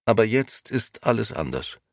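A man voicing an excitable, talkative grown man who rushes out a breathless stream of words. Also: fairly low pitch, no room sound, studio quality, pitched above the performer's own voice. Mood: eager rushing.

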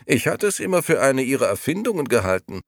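A man's voice: medium pitch, very animated, fast, creaky, no room sound, voice pushed up. A man voicing a stern, haughty lady who speaks with disdain. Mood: haughty surprise. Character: stern, astonished grown lady of high society.

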